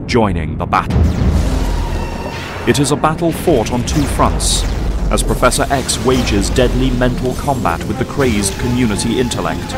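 A man narrating a superhero audio drama in a grave, measured, scripted storytelling style. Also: fairly low pitch.

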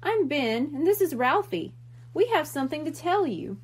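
A woman's voice: sits high, sing-song, moderate pace, pitched above the performer's own voice. A woman voicing an earnest, worried young boy from a storybook, with an emphatic, pleading manner. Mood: confessing.

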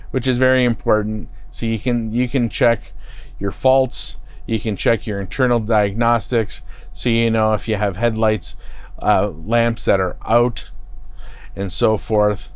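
A man's voice: on the low side, clear, resonant tone, dry recording. A middle-aged man giving a plain, stop-start how-to walkthrough from the driver's seat of a parked motorhome, with fillers and restarts, on a lapel mic. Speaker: product expert. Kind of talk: unscripted how-to demonstration. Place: inside a parked motorhome cockpit.

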